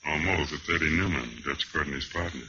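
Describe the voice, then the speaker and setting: Noisy, dull old radio recording. a wary speakeasy musician with a low voice. He sounds jittery and confiding, in radio noir style. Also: brisk. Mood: uneasy. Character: nervous, wary speakeasy jazz pianist, adult man. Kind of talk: 1950s radio crime drama acting.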